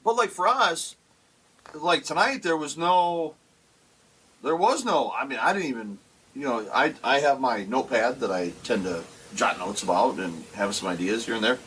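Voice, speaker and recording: A man's voice: mid-range voice, lively intonation, moderate pace, fairly bright tone, slight background noise, poor audio. A man in his forties co-hosting a casual podcast, talking in a rambling way with many restarts. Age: 40s. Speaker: podcast co-host. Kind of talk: casual chat. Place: basement.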